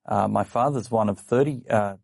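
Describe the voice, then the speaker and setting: Gravelly man telling his story. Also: fairly low pitch, normal speed, no echo. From Australia. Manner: relaxed storytelling with frequent fillers and small laughs. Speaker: guest. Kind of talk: casual interview chat. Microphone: studio microphone.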